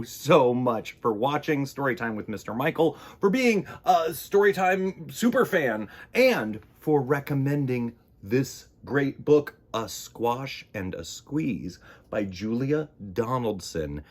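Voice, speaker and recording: A man hosting a children's read-aloud, talking in an excitable, theatrical way. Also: mid-range voice, slow. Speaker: storytime host.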